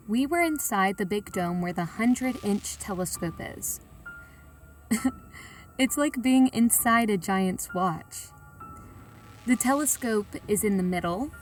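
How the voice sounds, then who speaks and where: A woman's voice: mid-range voice, recorded from a distance, close to an everyday voice. A woman playing a plain-spoken, reflective sightseer who tells her story in a colloquial, confiding way. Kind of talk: first-person audio drama narration.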